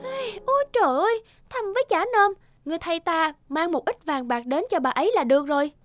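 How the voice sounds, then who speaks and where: A woman's voice: extremely high pitch, a little fast, faint music behind. A woman playing a vain, spoiled young married lady in a cartoon, dramatic and haughty. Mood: dismissive. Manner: dismissive, dramatic. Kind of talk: animated cartoon voice acting.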